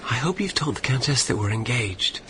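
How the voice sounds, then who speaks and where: An actor playing a genteel, wistful young New York gentleman in an audiobook drama, speaking in a measured, formal and refined way. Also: mid-range voice, lively intonation, crowd noise, background noise, natural voice. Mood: earnest, tender. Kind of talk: audiobook drama narration and dialogue. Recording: music behind the voice.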